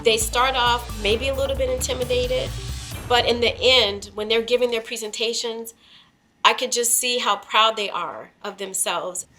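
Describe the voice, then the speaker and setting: A woman who directs an intern program speaks about her students in an encouraging way. Her voice sits a little high, and faint music plays behind her. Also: steady tone, moderate pace, sharp tone. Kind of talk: documentary interview.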